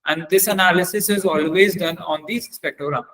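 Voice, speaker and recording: A man presenting an explanatory technical lecture as a trainer, in a hesitant, halting way with frequent fillers and repeated words. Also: sits high, bright, forceful tone, rough recording.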